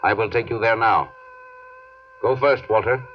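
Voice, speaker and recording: A man's voice: on the low side, measured pace, lightly acted. A man playing a cold, menacing alien zookeeper in an old radio drama, speaking in stilted, formal, logical statements. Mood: coldly threatening. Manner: stilted, formal, enumerates points. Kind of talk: 1950s radio drama acting. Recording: dull, noisy old radio recording.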